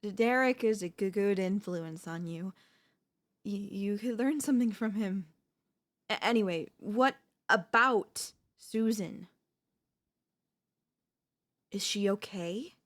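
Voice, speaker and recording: Woman acting a slow-speaking, doting yandere wife. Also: mid-range voice, natural voice. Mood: uneasy, probing. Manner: breathy, hesitant stammers, tender coaxing. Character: doting yandere wife, anxious, soothing, quietly controlling. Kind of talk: ASMR audio roleplay, intimate acted monologue.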